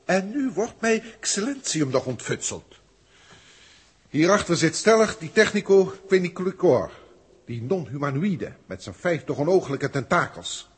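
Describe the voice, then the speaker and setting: Husky, pompous alien elder. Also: male voice, fairly high pitch, sing-song, unhurried, exaggerated voice. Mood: indignant, haughty. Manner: pompous, formal, emotionally indignant. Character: haughty, irritable senior alien proctor reporting on Terra. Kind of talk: 1960s Dutch radio play acting.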